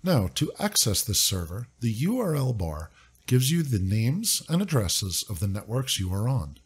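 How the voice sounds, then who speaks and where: A man narrating a scripted software walkthrough in a clear, upbeat, step-by-step instructive way. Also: medium pitch, very animated, normal speed. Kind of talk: scripted screen-recording walkthrough.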